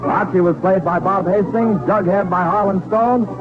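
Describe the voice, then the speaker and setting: High-voiced American 1940s radio announcer man. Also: moderate pace. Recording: dull, lo-fi 1940s radio broadcast.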